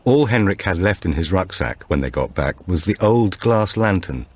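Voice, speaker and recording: A man's voice: low-pitched, animated, normal speed, breathy edge, dry recording. A man reading a suspense thriller as scripted audiobook narration.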